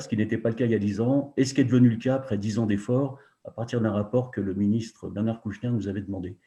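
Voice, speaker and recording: An older man speaks in a mellow, dark-toned voice at a middle pitch, laying out facts one by one. He pauses often with small hesitations, in a measured webinar introduction over a video call. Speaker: founder of a humanitarian NGO, panelist.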